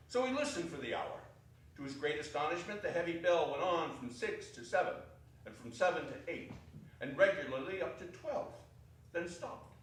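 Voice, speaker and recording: A man narrates a stage reading in a lively, rising and falling voice that sits somewhat high. He is far from the mic in a very echoey hall, with faint room noise. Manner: expressive, storytelling. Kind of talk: theatrical narration. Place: small arts center stage.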